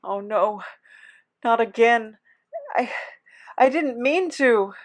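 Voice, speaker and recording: A woman in an audio drama playing a weary, frightened captive who speaks haltingly and pleads. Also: on the high side. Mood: fearful. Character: weary, frightened captive with a draining supernatural hunger.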